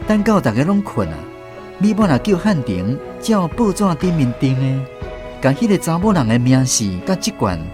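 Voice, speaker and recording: Expressive Taiwanese male storyteller, highish voice. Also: normal speed, music in the background. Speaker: radio drama narrator. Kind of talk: scripted storytelling narration.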